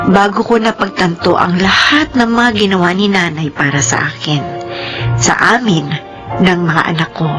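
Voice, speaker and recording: A woman's voice: medium pitch, natural voice. An actress playing a remorseful, devoted adult daughter who tells her life in an earnest, emotional radio drama style. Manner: slow, emotional, earnest delivery. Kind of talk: Filipino radio drama acting. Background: music.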